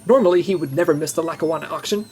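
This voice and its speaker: An actress playing a businesslike, commanding spy chief giving a briefing in an audio comedy. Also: female voice, low voice, a little mellow, soft background music. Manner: brisk, instructing. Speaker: spy agency section chief. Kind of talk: comedy audio drama acting.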